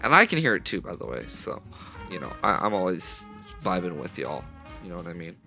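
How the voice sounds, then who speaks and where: Male streamer, low-set but bright, slightly stuffy voice; rambling, upbeat live chatter on a headset mic over soft jazz. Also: wildly swooping pitch, fairly quick, gravelly. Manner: rambling, self-correcting, upbeat. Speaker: streamer building a game asset live. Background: soft jazz music playing.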